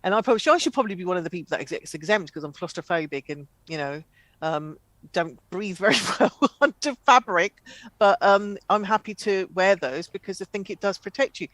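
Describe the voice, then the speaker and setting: Female co-host for a casual comedy chat show, discursive and halting, recorded very dry. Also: lowish voice, lively intonation, a bit bright, no echo, low-quality recording.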